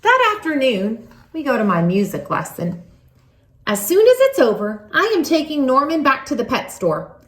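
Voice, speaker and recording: A woman reads a children's story aloud in an expressive, animated way, leaning on words for emphasis. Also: high-pitched, normal speed, reverberant. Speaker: storybook reader.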